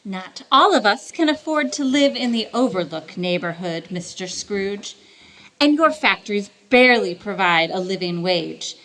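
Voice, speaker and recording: A woman in a radio play voicing a stern Mother Superior who admonishes in a measured, reproachful manner. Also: mid-range voice, expressive, fairly bright tone, poor audio. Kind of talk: stage radio drama acting.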